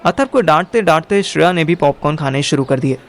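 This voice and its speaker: A man's voice: on the high side, animated, fast talker. A young man narrating a first-person audio drama in colloquial Hindi mixed with English words. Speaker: narrator and lead character.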